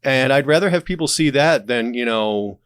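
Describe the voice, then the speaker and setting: A man's voice: medium pitch, expressive, moderate pace, fairly bright tone, smooth, dry recording. Candid male podcast guest, dry sound. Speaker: podcast guest, audio drama creator and streamer. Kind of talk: casual, candid conversation.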